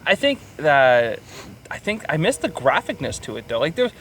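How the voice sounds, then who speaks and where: Guy cohosting a film podcast, pretty high voice, animated n crude, bit of noise behind him. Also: normal speed. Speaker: podcast co-host. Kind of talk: casual film review chat.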